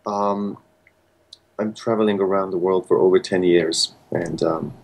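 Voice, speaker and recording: A man's voice: low-pitched, fairly even intonation, unhurried, vocal fry. A man of thirty from Hungary with a Hungarian accent, a pianist answering interview questions thoughtfully, often restarting his sentences. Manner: thoughtful, many restarts and fillers. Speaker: pianist guest.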